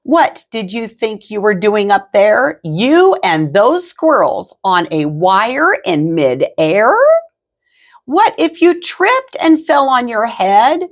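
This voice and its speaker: A woman's voice: medium pitch, sing-song, slow, highs cut off, pitched above the performer's own voice. A woman reading aloud, voicing a frazzled mother cat who scolds in an exasperated way. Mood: scolding.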